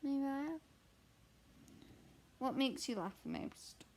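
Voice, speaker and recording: Young woman, croaky, gravelly, slow, rambling casual chat. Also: high-pitched, wildly swooping pitch, a little hiss or hum. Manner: chatty, rambling, candid. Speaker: cross stitch vlogger and mother. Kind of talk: casual chat answering a question tag while stitching. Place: at home.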